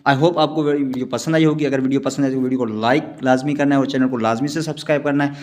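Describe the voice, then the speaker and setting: A man's voice: medium pitch, fairly even intonation, quick pace, darkish tone. A man with a Pakistani accent lecturing on law in an explanatory way, repeating and rephrasing his points. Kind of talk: explanatory online lecture with read-out passages.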